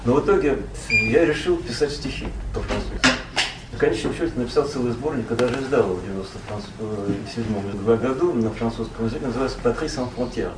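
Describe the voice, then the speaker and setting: A man from Russia, a poet and translator, recalls his past as a guest in a relaxed, rambling conversation full of fillers and self-corrections. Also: mid-range voice, quick pace, echoey room, far from the mic, noisy background.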